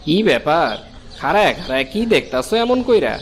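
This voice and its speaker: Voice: mid-range voice, big pitch swings, a little fast, a little hiss or hum. An actor with a Bangladeshi accent voicing a brusque but kindly male fishmonger at a village market in a cartoon. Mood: brisk. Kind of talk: Bengali fairy tale cartoon dubbing.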